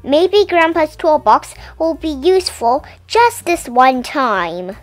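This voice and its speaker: Woman storybook reader, high and very animated, unhurried, dry close sound, soft music underneath. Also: no echo. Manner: gentle, clearly enunciated storytelling with playful emphasis. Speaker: storybook reader and channel host. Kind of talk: children's picture-book read-aloud.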